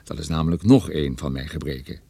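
A man's voice: extremely low pitch, a little fast, mellow, slightly rough, dry recording, exaggerated voice. An actor playing a wry, self-deprecating private detective who narrates his own story in a radio drama.

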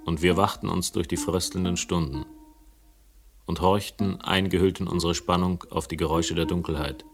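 Very deep-voiced, world-weary male investigator. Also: fairly even intonation, moderate pace, vocal fry, music in the background. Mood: brooding, wry. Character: world-weary, wry male investigator narrating his own case. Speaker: narrator and protagonist. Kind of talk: radio crime drama narration.

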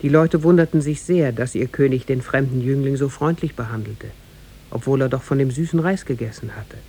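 A man narrating a tale in measured, formal storytelling style for a 1950s German radio play. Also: dark tone. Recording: 1950s radio recording with faint rumble.

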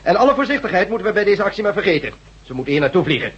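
A man playing an impatient, sardonic resistance leader in a Dutch radio drama, brisk and authoritative. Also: high voice, very animated, a bit bright, slightly rough, heavily acted. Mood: brisk, commanding. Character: commanding, impatient male leader of a resistance group. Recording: dull, muffled radio play recording.

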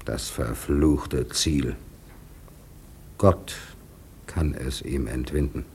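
An actor playing a grave, devout first mate on a whaler in a radio drama, solemn and anguished in measured phrases. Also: male voice, very deep voice, creaky, darkish tone, a little hiss or hum, full character voice. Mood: anguished resignation. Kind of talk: German radio drama acting.

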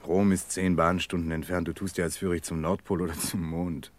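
A man's voice: low-pitched, flat delivery, a little fast, natural voice. An actor playing a young student in a radio play, impatient and matter-of-fact as he reassures and dismisses. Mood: exasperated. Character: young architecture student leaving his fiancée, dismissive, uneasy. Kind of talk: German radio play acting.

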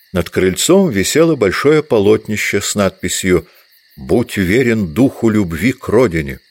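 Very low-pitched male narrator. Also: no room sound. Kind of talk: scripted literary narration for audio drama.

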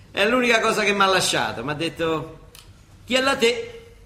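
A worldly older Roman monsignor in a radio play. His voice is high and forceful, wry yet protective. It rings in an echoey space over faint noise. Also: big pitch swings, takes it slowly, heavily acted. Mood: gruff, wry. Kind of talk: stage-style radio drama acting.

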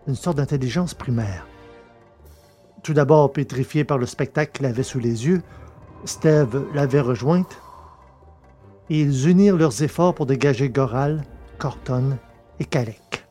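A man reading a science fiction story aloud in a steady, measured narration. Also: mid-range voice. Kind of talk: scripted audiobook narration.

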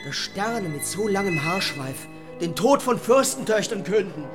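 A superstitious castle watchman in a radio play. His high, lively voice is full of foreboding, with music clearly behind it. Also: measured pace. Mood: uneasy. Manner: foreboding, wide-eyed. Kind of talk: German radio play acting.

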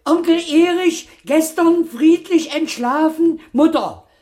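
High-pitched, hurried daughter. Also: female voice, darkish tone. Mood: urgent. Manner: urgent, impatient, instructing. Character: hurried, insistent grown daughter on the phone. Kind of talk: German radio crime drama acting.

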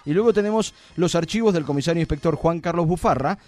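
A man's voice: on the high side, fast, a little mellow. A man with an Argentine accent hosting a live radio show, with a playful, joking way of talking. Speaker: radio host.

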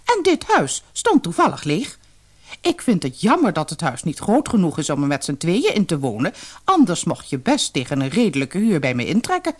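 A woman's voice: on the low side, wildly swooping pitch, normal speed, husky, heavily acted. A woman voicing a shrewd, brazen old lady weasel in a storybook, haughty and formal. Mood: smug lecturing. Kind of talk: storybook character voice.

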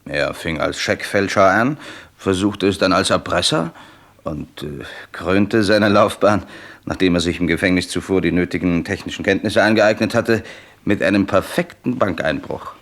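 Obliging inspector, low voice, dry, precise, matter-of-fact, radio crime drama. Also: male voice, normal speed, a bit bright. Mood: dry, considering.

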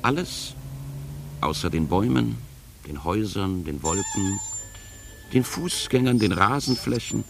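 An actor playing a brooding, poetic narrator of a radio play, speaking in deliberate, incantatory fragments. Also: male voice, on the low side, lively intonation, creaky, over music. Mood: solemn, poetic. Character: brooding poetic male narrator, an inner voice of the city. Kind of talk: literary 1980s German radio play narration. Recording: hissy 1980s radio play tape.

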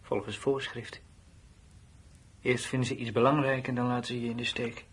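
An actor playing a blunt, uneasy soldier in a Dutch radio drama, with plain, colloquial, matter-of-fact delivery. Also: male voice, mid-range voice, little pitch movement, moderate pace, breathy edge. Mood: bewildered, aggrieved. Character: blunt, uneasy male soldier left behind at his post. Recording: noisy old radio play recording.

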